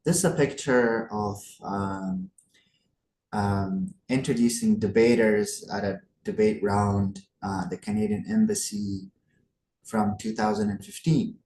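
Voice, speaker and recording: A young man from Afghanistan gives a talk to a conference over a video call. His low voice hesitates often and fills pauses with ums and uhs. The sound is roomy and echoey, with a faint hum behind it. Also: animated, creaky, a little mellow. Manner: hesitant, many fillers. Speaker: guest speaker.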